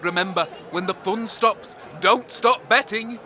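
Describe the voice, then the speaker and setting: A man playing a loud, shifty bookmaker at a wasteland games in a comedy sketch. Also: sits high, measured pace, exaggerated voice. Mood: hyped. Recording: outdoor crowd noise.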